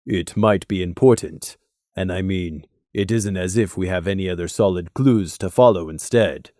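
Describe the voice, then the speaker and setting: A man voicing a cautious winged creature who reasons in a measured, tentative way in a fantasy audio storybook. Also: deep voice, warm tone, dry recording, clean studio sound, voice pushed down, close to an everyday voice. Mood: reasoning.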